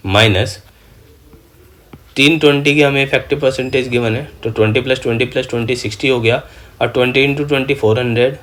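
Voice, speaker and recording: A man's voice: fairly low pitch, a bit bright. A man teaching a maths lesson step by step, often posing questions and answering them himself, with frequent check-in tags. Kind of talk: exam maths lesson, step-by-step worked solutions.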